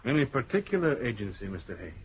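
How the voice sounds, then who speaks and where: A man's voice: medium pitch, very animated, fast, slightly rough. A man acting a dry, dogged police chief inspector with terse, probing questions in a radio crime drama. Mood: probing, firm. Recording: dull, noisy old radio broadcast recording.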